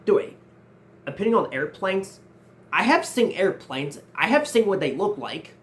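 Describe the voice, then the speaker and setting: Young man, 19, high for a male voice, earnest Q&A host who restarts his sentences. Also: very animated, a little slow. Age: 19, nearly 20. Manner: earnest, repeats and restarts phrases often. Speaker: channel host answering viewer questions. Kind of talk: casual Q&A vlog.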